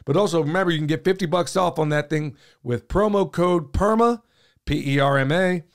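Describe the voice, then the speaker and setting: A man, hosting a podcast. He sounds a bit hoarse and talks in a folksy, rambling way. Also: fairly high pitch, fairly quick. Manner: folksy and talkative, says y'all, gestures a lot. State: hoarse, losing his voice. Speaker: podcast host. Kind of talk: casual podcast chat. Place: small podcast studio, indoors. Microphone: studio mic on boom arm, headphones on.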